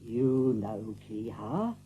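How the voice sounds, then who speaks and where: An eager, chummy rabbit in an audiobook drama. His pitch jumps about excitedly, and the sound is thin and narrow with faint noise. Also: very slow. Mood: coaxing. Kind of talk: audiobook drama character acting. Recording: thin, faintly noisy old recording.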